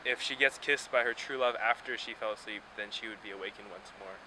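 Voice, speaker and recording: A man's voice: on the low side, brisk, bright, forceful tone, low-quality recording. A man interviewed on the street, recalling things in casual talk. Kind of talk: casual recollection and chat. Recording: faint outdoor urban noise.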